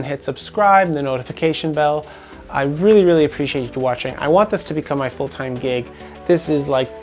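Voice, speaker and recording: A young man with a slightly scratchy voice giving a rambling, earnest update on his investments to camera, full of ums and restarts. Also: a little high. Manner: rambling, filler-heavy, earnest. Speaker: finance vlogger. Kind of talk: casual portfolio update to camera.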